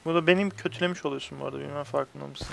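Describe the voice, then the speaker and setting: A man's voice: a little high, steady tone, racing, gravelly. A young man hosting a gaming video, giving casual let's-play commentary while chatting with friends. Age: young adult. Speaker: host of a gaming video channel. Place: indoors at a computer, webcam shown.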